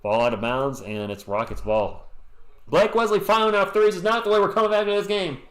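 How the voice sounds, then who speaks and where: A man's voice: high voice, lively intonation, brisk, fairly bright tone. A man from Western Massachusetts calling a basketball game play by play on a live stream, chatting with viewers and cracking jokes between plays. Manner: chatty, answers chat messages with joking asides. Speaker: sports stream host. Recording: roomy echo.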